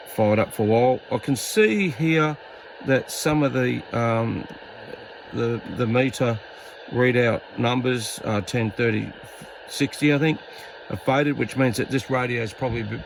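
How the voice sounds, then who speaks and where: A man's voice: mid-range voice, a little slow, vocal fry, poor audio. A man with a mid-range voice talks through a hands-on hobby demonstration over audible background noise. He is informal and a little rambling, thinking aloud as he works through each step. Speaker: radio hobbyist demonstrating gear. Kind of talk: casual hands-on video demonstration.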